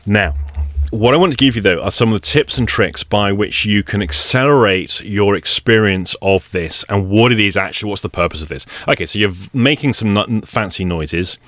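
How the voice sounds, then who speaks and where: A man giving relaxed spoken instruction on a meditation practice, explaining it step by step in a wry, conversational way. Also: fairly low pitch, moderate pace, no echo. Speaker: meditation teacher.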